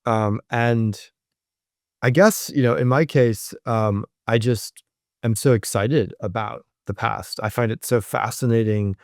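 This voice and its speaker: A man's voice: mid-range voice, steady tone, measured pace, a little mellow, no room sound, studio quality. A man who is a professor, answering interview questions thoughtfully, with frequent ums and restarts. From United States.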